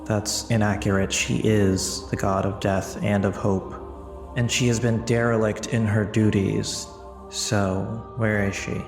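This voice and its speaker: A man playing a stern, judging god in a tabletop game, speaking formally and with measured admonishment. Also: fairly low pitch, level tone, reverberant, long reverb tail, music in the background. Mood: stern, probing.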